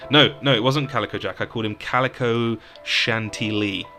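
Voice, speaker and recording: A man from the UK chats casually as a podcast co-host, telling meandering stories dotted with "um"s. His voice sits low yet sounds bright and forceful. Faint music plays underneath. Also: steady tone, normal speed. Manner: rambling, storytelling, frequent "um". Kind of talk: casual chat about tabletop games.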